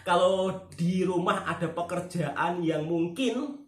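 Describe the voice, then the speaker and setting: A man's voice: sits high, slow. Javanese man chatting in an echoey room. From Java, Indonesia. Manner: earnest, keeps asking for agreement. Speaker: channel host. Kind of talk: casual chat with a friend.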